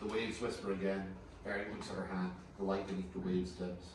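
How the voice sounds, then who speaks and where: Very low-voiced man narrating, echoey. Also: flat delivery, a little fast. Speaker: narrator reading stage directions. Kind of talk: scripted screenplay table read. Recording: distant, echoey room with clear noise.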